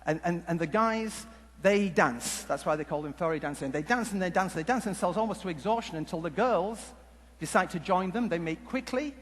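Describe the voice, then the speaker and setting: A man giving a humorous conference talk, telling stories in a jokey, halting, rambling way. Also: high-pitched, animated. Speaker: conference speaker. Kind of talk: humorous stage presentation with storytelling. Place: large conference hall before an audience. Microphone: headset mic. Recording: echoey hall PA with background noise.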